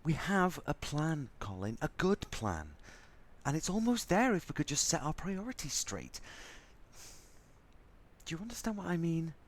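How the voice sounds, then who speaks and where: A man's voice: fairly high pitch, sing-song, normal speed. A man playing a sassy, theatrical, frazzled robot crew member in an audio drama, with a sardonic, stubborn manner. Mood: irritated, firm.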